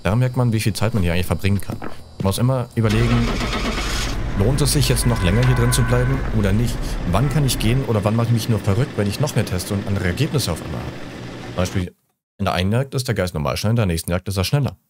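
A man in his thirties with a low-pitched voice talks through a game in casual streamer fashion. He drops in English gamer slang as he goes. He sits close to a desk microphone, with noticeable game ambience behind him. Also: fast, background noise. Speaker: let's play streamer. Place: indoors, seated close at a desk. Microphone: desk microphone at close range.

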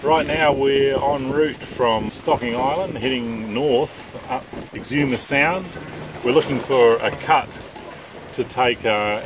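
A man in his fifties narrating his sailing trip in a relaxed, matter-of-fact way, speaking on a boat deck to a camera held at arm's length. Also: mid-range voice. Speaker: sailing vlogger. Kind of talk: casual travel narration. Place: open deck of a sailboat at sea. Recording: distant mic with wind and water noise. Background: boat and water noise.

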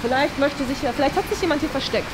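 A woman's voice: high-pitched, fast, background noise. A young woman hosting a vlog in a casual, chatty way, thinking aloud as she talks to the camera. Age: young adult. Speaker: host of a YouTube challenge vlog. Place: large indoor swimming pool hall.

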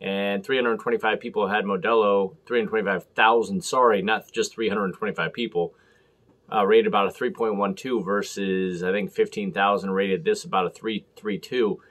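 An American man hosting a casual beer review to camera, talking in halting, clipped short phrases. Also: fairly low pitch, steady tone, fairly bright tone. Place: small room at home, indoors.

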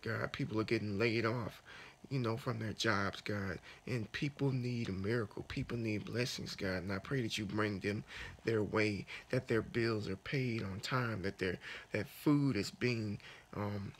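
A man in his thirties lying in bed in a dark bedroom, talking softly and earnestly into a phone held close in a raspy morning voice, then praying aloud. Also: lowish voice, a little hiss or hum. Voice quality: gravelly. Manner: soft, unhurried, earnest with many restarts. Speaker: fitness vlogger. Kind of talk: casual morning talk and spoken prayer. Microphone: phone camera held close.

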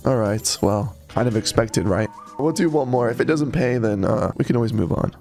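A young man giving casual live commentary over a slot game, mid-pitched and talking straight to his viewers. He swings between relaxed chatter and sudden excited shouts, and he is sick, with a voice that is wearing out. Faint music runs underneath. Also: normal speed, vocal fry. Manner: excitable, breaks into loud yelps at wins. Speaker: slot streamer.

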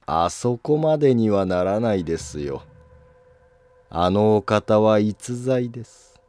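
Man voicing a slow, low-voiced scheming court noble. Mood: cool, calculating. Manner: formal, calm, deliberate. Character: scheming, coolly polite male Heian court noble.

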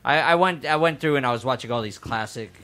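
A man co-hosting a casual podcast, animated and quick to exclaim. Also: mid-range voice. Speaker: podcast co-host.

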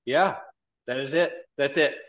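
A man's voice: medium pitch, a little slow, fairly bright tone. A man in his fifties speaking earnestly as a guest in a casual video-call interview, often repeating his phrases. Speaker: interview guest, college marketing director and event organizer. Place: small indoor office, video call. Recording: dull, lo-fi call audio.

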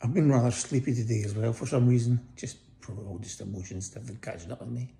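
A middle-aged man with a croaky voice, lying in a hospital bed and casually giving a personal update into a phone held close above him, tired and pausing often. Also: mid-range voice, mellow, slight background noise. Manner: lying in bed, tired, talking slowly with many pauses. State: croaky voice after breathing tubes. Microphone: phone held close above face.

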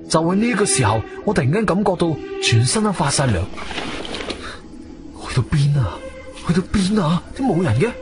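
A male actor playing an agile, intense adventurer who narrates his own radio drama in suspenseful Cantonese. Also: a little high. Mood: urgent, alarmed. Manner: animated, suspenseful storytelling. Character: agile martial-arts-trained adventurer, urgent and intense. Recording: studio radio drama with music behind.

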